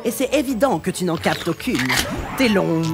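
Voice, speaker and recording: A woman's voice: lowish voice, animated, moderate pace, over loud music. A woman voicing a flirty, cocky, sleazy male demon in a cartoon fandub. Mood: teasing. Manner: sassy, teasing.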